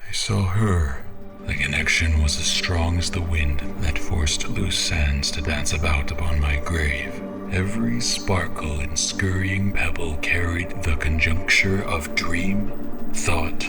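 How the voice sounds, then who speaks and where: Deep, brooding half-mad zealot. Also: male voice, gravelly, loud music behind the voice, exaggerated voice. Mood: brooding, awed. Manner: grandiose, brooding, deliberate, with whispery breaths. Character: obsessed, menacing male zealot, half-mad self-anointed champion. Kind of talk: theatrical dramatic monologue.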